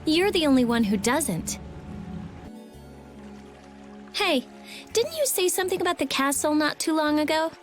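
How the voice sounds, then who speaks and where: A woman's voice: high-pitched, lively intonation, a little fast, faint music behind. A woman playing a brash teenage girl mage in a video game, blunt and sarcastic, talking like a know-it-all. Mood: sardonic, skeptical. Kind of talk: English video game voice acting.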